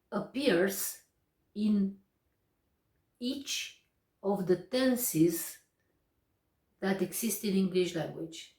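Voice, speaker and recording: A young woman with a Romanian accent explains English grammar at an unhurried pace. She is a native Romanian speaker who pauses often and picks her words with care. She sounds set back from the mic in an echoey room. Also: medium pitch, mellow, far from the mic. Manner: slow and deliberate, with frequent pauses and hesitations. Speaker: English teacher.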